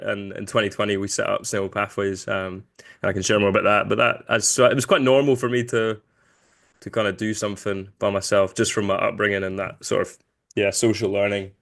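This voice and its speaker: Low-voiced young man with light Glaswegian accent. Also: fairly even intonation, quick pace, vocal fry, fairly bright tone. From Glasgow, Scotland. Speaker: podcast guest, business founder. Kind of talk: casual interview conversation.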